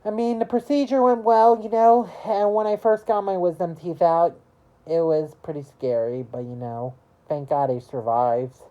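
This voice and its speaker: A woman in her early twenties chatting casually to camera about her year, rambling and halting, with lots of "you know" fillers. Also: fairly low pitch, steady tone, lo-fi sound. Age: early 20s. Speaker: vlogger.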